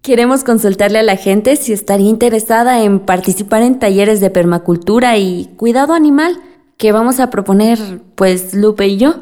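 A woman's voice: mid-range voice, moderate pace, warm tone, natural voice. An actress with a Mexican accent playing a determined, spirited young woman from a village in a radio drama, polite but outspoken. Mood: polite, insistent. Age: young adult.

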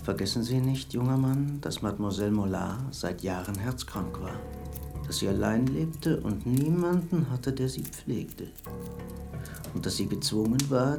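An actor playing a self-righteous serial killer in a radio drama monologue, measured, insistent and justifying himself. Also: male voice, mid-range voice, gravelly. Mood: cold reproach.

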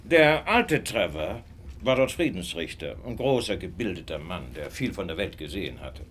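An actor playing a shrewd, self-assured detective in a radio drama, speaking in a knowing, deliberate way. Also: male voice, deep voice, big pitch swings, a bit bright, slight background noise. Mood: knowing, reminiscing. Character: famous male detective, shrewd, self-assured, gently superior. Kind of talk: German radio drama acting.

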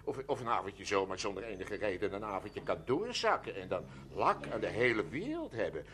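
An actor playing a pompous, pushy stranger in a Dutch radio play, stammering, repeating himself and talking floridly. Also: male voice, on the low side, sing-song, fairly quick, a bit bright, slight background noise. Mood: wheedling. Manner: stammering, repetitive, florid and insistent.

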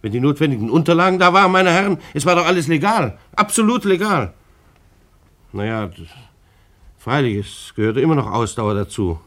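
An actor in a radio play playing a plain-spoken, law-abiding bailiff with a hesitant, conversational manner. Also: male voice, fairly high pitch, big pitch swings, fairly quick, a bit bright. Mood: defensive.

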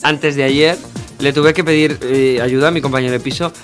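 Fast-talking emphatic man on talk radio. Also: mid-range voice, fairly even intonation, soft background music. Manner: emphatic, repeats himself, jokey asides. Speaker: radio show panelist. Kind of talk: casual debate on a radio talk show.

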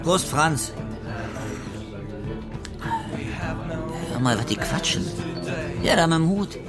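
A man's voice: a little high, expressive, moderate pace, over loud music, lightly acted. A man playing a cheeky, streetwise street trader in a radio drama. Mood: chummy.

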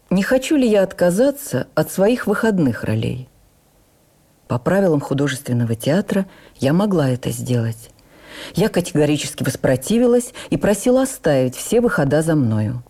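A woman reads a first-person memoir as dramatic radio narration, with theatrical, expressive phrasing. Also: fairly low pitch. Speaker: actress reading a memoir in a radio production. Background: music under the voice.

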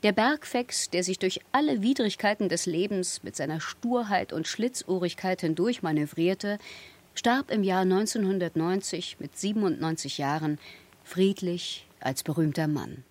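A woman's voice: fairly low pitch, moderate pace. A woman giving scripted narration for a radio play.

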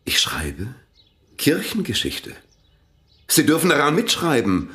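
An imperious visionary village priest in a radio drama. His delivery is volatile and grandiose, leaping from low menace to high outbursts, with a hugely animated pitch. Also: unhurried, heavily acted. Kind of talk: German radio play acting.

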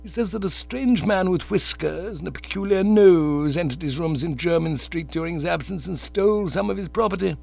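A man's voice: high voice, moderate pace, vocal fry, few pauses, voice pushed up. A man voicing a stern, pompous middle-aged aunt who lectures loftily and stalls over delicate words. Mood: imperious. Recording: slightly dull lo-fi recording.

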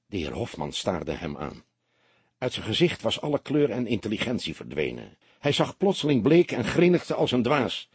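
A man reads detective fiction as an audiobook narrator. His voice is husky with very varied intonation, recorded dry and close. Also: lowish voice, wildly swooping pitch, moderate pace, no echo. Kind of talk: expressive scripted narration of a detective story.

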